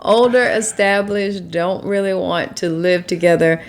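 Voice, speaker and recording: Woman in her forties, co-host and relationship coach, stuffy and nasal, chatty and quick to laugh. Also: on the low side. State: stuffy nose from allergies. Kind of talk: casual conversational chat.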